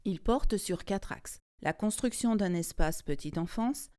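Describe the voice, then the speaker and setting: A woman's voice: medium pitch, animated, unhurried, a little mellow. A woman reading a formal, scripted presentation in the manner of an institutional documentary. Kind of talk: formal scripted narration, read aloud.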